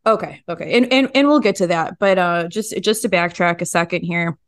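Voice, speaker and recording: A woman hosting a conversational true crime interview, informal and quick to react as she guides her guests. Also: lowish voice. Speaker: podcast host interviewing journalists.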